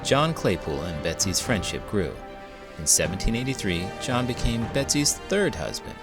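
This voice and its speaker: A man's voice: fairly low pitch, big pitch swings, moderate pace, over loud music. An American man hosting a read-aloud, narrating a children's history picture book.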